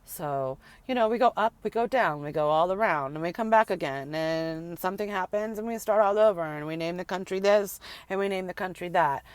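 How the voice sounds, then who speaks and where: Middle-aged woman, rambling emphatic vlogger; smooth tone, dry close sound. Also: on the low side, brisk, hardly any pauses, no echo. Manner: rambling, emphatic, repeats words for effect. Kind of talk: casual rant to camera.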